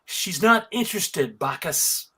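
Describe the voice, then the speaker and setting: Performer playing a husky warrior woman heroine. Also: fairly low pitch, expressive, slow pace, a bit bright, heavily acted. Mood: cool defiance. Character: brisk, commanding warrior woman heroine, composed and compassionate. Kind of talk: live table read of a fantasy TV script.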